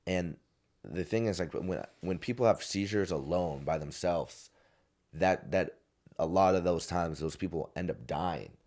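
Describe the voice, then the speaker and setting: A man's voice: low voice, unhurried, a little mellow. A man in his twenties, a podcast guest, telling a story in a loose, rambling way, with many restarts and fillers. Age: 20s. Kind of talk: casual storytelling. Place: podcast studio.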